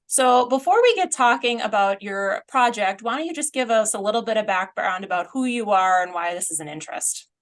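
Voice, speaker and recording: Woman host, mid-pitched, relaxed, easygoing questions. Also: brisk, hardly any pauses. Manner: friendly, casual questioning. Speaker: podcast host. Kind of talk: podcast interview.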